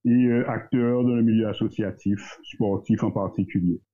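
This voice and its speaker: A man, talking as a guest in a casual discussion, thoughtful and hesitant, pausing often. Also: lowish voice, steady tone, dark tone, a little hiss or hum. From Guadeloupe. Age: 30s. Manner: thoughtful, hesitant, with many pauses and fillers. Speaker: guest, entrepreneur. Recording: tinny phone-like line.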